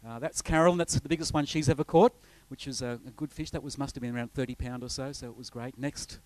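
A man's voice: medium pitch, fairly even intonation, fast, a little mellow, dry recording. A middle-aged Australian man, a visiting pastor, giving a rambling, chatty introduction to a church congregation, with many fillers and restarts. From north coast of New South Wales, Australia. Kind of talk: informal sermon introduction with slides.

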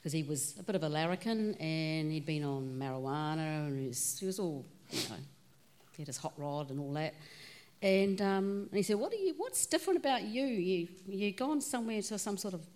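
Woman, New Zealand accent, low voice, conversational, church guest. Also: animated, fairly quick, a little mellow. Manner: plainspoken and conversational. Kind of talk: telling her faith story.

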